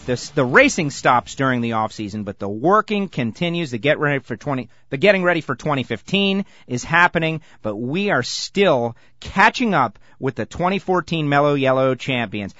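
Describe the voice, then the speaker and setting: A man hosting a sports radio show, eager and animated as he interviews a guest. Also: on the high side, normal speed, hardly any pauses, no room sound, lo-fi sound. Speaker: radio host.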